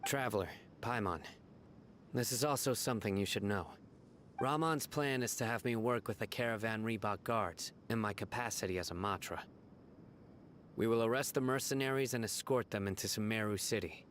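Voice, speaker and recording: An actor playing a stern, cautious former law enforcer in a video game drama, speaking in a grave, formal, measured way. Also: male voice, fairly low pitch, fairly bright tone, a little hiss or hum, natural voice. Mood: serious, principled. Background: music.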